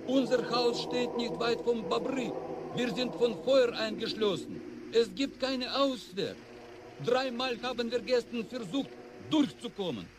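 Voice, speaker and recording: An actor playing a desperate father trapped by fire in a radio drama, speaking in clipped, anguished phrases. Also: very high-pitched. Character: desperate trapped family father pleading amid nuclear fires. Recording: clearly noisy, ambient background.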